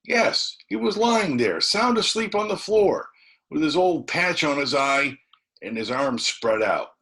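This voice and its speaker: A man voicing a frightened, excited adventurous small-town boy in a storybook, talking in a breathless, folksy rustic way. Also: on the high side, unhurried, voice pushed up, full character voice. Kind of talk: dramatised storybook reading.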